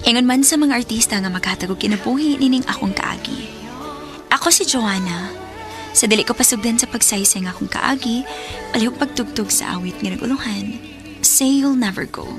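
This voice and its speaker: A woman's voice: fairly high pitch, brisk, natural voice. A woman acting an earnest letter sender who narrates her life story in a radio drama, with a Cebuano accent. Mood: earnest, polite. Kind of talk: first-person scripted radio drama narration. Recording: music playing behind the voice.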